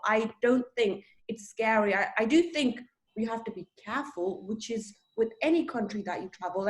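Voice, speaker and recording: A woman's voice: a little high, a little mellow. Chatty young woman from Sri Lanka. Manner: open, chatty, quick to laugh at herself. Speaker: interview guest. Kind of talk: casual interview.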